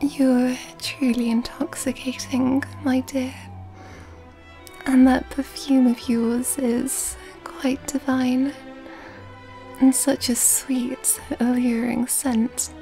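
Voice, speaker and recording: A woman playing an elegant, seductive female vampire in an ASMR roleplay, soft-spoken and breathy, often whispering in a genteel, flirtatious way. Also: sits high, level tone, takes it slowly. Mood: flirtatious, charmed. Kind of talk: ASMR roleplay, intimate scripted monologue. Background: background music.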